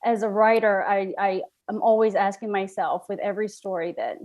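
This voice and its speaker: A woman speaking as a playwright in an interview, thinking aloud in a halting, reflective way with rhetorical questions. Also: mid-range voice, darkish tone.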